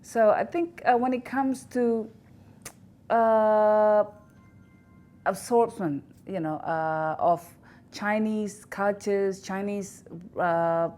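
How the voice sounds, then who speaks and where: A woman from Indonesia with an Indonesian accent, answering interview questions in a halting way with many fillers and restarts. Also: medium pitch, a little mellow. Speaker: interview guest, institute director. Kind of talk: recorded news interview.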